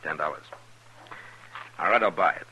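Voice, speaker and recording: A very deep, forceful Old West marshal, an American man of few words. He is steady and commanding, in the manner of 1950s radio drama, on a faintly noisy old recording. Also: moderate pace, lo-fi sound. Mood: calm, kindly. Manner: terse, calm, authoritative. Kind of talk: 1950s radio western acting.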